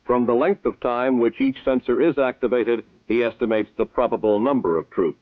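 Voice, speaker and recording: A man giving formal, measured scripted narration for an old military training film. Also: medium pitch, no room sound. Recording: tinny lo-fi 1960s film soundtrack.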